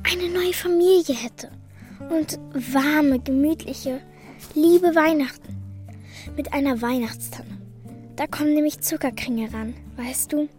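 A woman's voice: sits high, darkish tone. A woman playing a cheeky, bossy orphan girl with wistful moments in a children's radio drama, speaking in a pert, colloquial way. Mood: curious, wistful.

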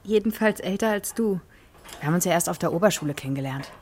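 A woman playing a romantic, nostalgic mother in a children's radio play, with a husky voice and a colloquial, exclaiming delivery. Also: lowish voice, animated, fast, background noise, lightly acted. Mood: casual, teasing.

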